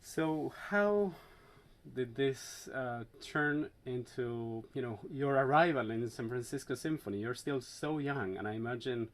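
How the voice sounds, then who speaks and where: A man hosting an interview, asking thoughtful, measured questions with small hesitations. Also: mid-range voice, expressive. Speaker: conductor, interview host.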